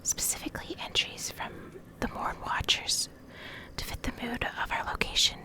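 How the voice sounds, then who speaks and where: A woman reading in a soft, breathy whisper for ASMR, gentle and measured, close to the microphone. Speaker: ASMR creator, video host and reader. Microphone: close USB condenser microphone.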